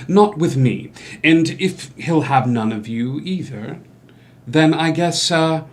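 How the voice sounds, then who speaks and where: A man's voice: mid-range voice, close to an everyday voice. A man playing a smug, evasive doctor and swindler in a stage musical table read, speaking formally and with cool dismissal. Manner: haughty, formal, coolly dismissive.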